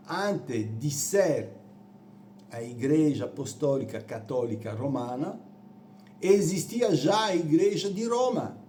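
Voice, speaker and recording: Slow-speaking Italian man preaching. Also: a little high, warm tone, a little hiss or hum. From Rome, Italy. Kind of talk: sermon on church history.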